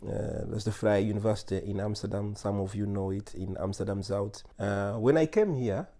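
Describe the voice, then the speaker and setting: Hesitant, dark-voiced man from Uganda. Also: lowish voice, moderate pace. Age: 40s. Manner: hesitant, many fillers and restarts. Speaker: guest, oncologist. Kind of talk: interview answers.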